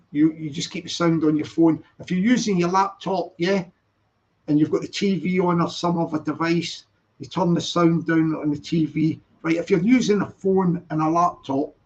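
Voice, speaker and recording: A man's voice: on the high side, darkish tone. A man talking casually on a live stream, rambling and repeating himself as he answers viewers' comments. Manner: rambling, repetitive, reads viewer comments aloud. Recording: dull lo-fi stream audio.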